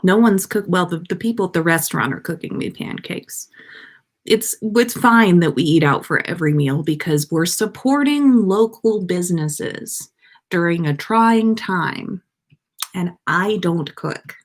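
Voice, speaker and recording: A woman's voice: fairly low pitch, animated, a little slow. An American woman hosting a casual livestream, chatting to viewers in a playful, rambling way. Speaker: podcast livestream host.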